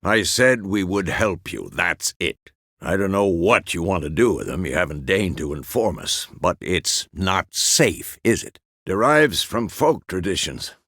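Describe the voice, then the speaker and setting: An aging monster hunter from a fantasy game, weary but steadfast. The voice is low and gravelly, with wide swings of pitch and a close, dry sound. He speaks in terse, measured phrases with a gruff fatherly authority. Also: sing-song, fairly bright tone, no echo, heavily acted. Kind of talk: fantasy video game character dialogue.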